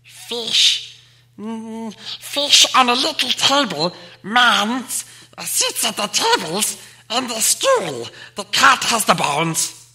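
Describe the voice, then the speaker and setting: A man voicing a sneaky, hungry cave creature from a storybook, with a strained hiss and drawn-out, wheedling speech. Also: extremely high pitch, sing-song, bright, forceful tone, pitched above the performer's own voice, exaggerated voice. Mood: straining. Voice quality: hissing, strained. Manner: drawn-out, wheedling, talks to himself. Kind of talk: storybook character voice.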